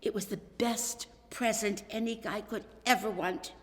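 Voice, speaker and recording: A woman reading a children's story aloud as storyteller, expressive, dramatic and deliberate. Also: mid-range voice. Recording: reverberant hall.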